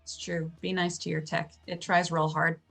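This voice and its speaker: A woman playing a tabletop roleplaying game, improvising narration in deliberate broken phrases and chatting casually at the table. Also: lowish voice, flat delivery, creaky, fairly bright tone, soft background music.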